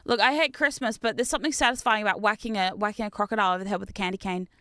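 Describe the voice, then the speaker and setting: A woman's voice: mid-range voice, very fast, clean tone, dry recording, clean studio sound. A young woman from New Zealand with a mixed New Zealand and British accent, chatting casually while livestreaming a game and reading chat aloud. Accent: mix of New Zealand and British. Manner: chatty, animated, reads chat aloud, laughs often. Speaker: game streamer.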